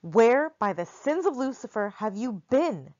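A woman reading the lines of a huge, gruff bearded man who scolds. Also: medium pitch, normal speed, darkish tone, lightly acted. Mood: scolding. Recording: dull lo-fi recording.

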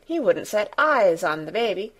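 An excitable young maid from a storybook, acted by a woman narrator. She is plain-spoken and superstitious, given to breathless exclamations in broad dialect. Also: medium pitch, normal speed. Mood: confiding.

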